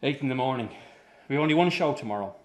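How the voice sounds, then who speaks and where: An actor playing a weary, patient but strained Irish circus manager in a radio drama. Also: male voice, medium pitch, expressive, quick pace, close to an everyday voice. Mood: weary, conciliatory. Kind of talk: radio drama acting with narration.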